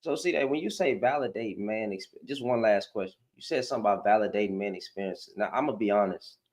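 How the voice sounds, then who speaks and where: A man's voice: on the low side, quick pace, darkish tone. A man from Houston with a Southern accent, hosting a live panel discussion in a rambling, slangy way with many restarts. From Houston, Texas. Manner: rambling, repeats words, slangy.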